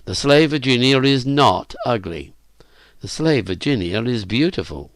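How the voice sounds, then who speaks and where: A man playing a stern, aloof master in an audiobook, speaking in a formal, measured, authoritative way. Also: medium pitch, expressive, dry recording, studio quality. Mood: calm, formal. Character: stern, commanding male master, calm and aloof.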